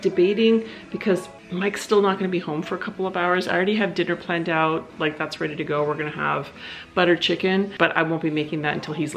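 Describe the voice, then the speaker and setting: A woman's voice: fairly low pitch, vocal fry. A woman from Canada in her thirties, a homeschooling mom chatting casually to the camera, rambling and laughing often. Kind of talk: casual vlog chat.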